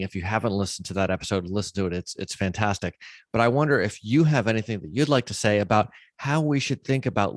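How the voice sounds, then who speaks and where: A man's voice: fairly low pitch, no echo, studio quality. A man hosting a conversational podcast interview, explaining his thoughts in a reflective way. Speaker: podcast host.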